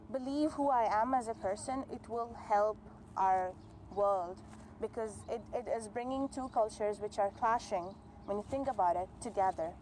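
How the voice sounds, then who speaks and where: A woman's voice: a little high, darkish tone, a little hiss or hum, rough recording. Earnest woman in her twenties. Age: 20s. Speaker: interviewee. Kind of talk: casual interview talk.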